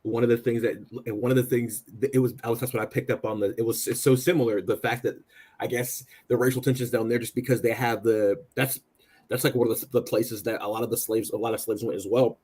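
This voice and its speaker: A man with an American accent chatting casually about politics as a panelist, in a halting, rambling, agreeable way. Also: medium pitch, steady tone, darkish tone, slightly rough. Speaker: political talk show panelist.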